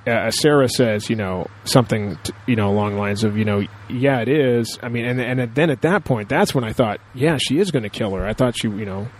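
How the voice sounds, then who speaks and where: Male podcast co-host, mid-pitched, casual and enthusiastic, rambling through analysis with frequent asides. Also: fairly quick, hardly any pauses, slight background noise. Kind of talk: casual TV episode discussion.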